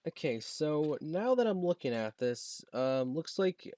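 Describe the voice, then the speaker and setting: A young American man chatting casually through a home unboxing video, halting and rambling as he goes. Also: a little high, big pitch swings, dark tone. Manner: halting, rambling, reads box text aloud. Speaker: video creator showing a gadget. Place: basement room at home.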